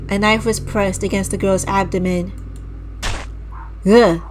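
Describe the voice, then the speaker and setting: A woman streamer reading a visual novel aloud with a slightly raspy voice, restarting over stumbled words, clearing her throat often and breaking off into disgusted asides to her chat. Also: mid-range voice, a little mellow, a little hiss or hum, low-quality recording. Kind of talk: slow dramatic read-aloud with casual asides to chat. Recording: music playing clearly behind the voice.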